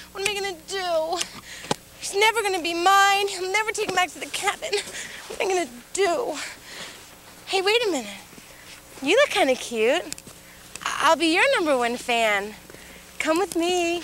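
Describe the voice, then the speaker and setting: A young woman playing an obsessive female superfan who chases her idol through a snowy forest, pleading in a shrill, clingy, frantic way. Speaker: comedy sketch player. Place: snowy forest trail outdoors. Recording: noisy VHS tape with engine hum.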